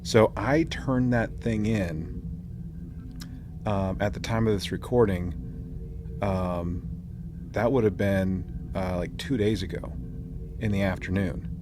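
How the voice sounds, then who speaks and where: A man's voice: lowish voice, slow pace, pauses often. A man hosting a podcast in casual chat, jokey and informal with his slang. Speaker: podcast host. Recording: clearly noisy room ambience.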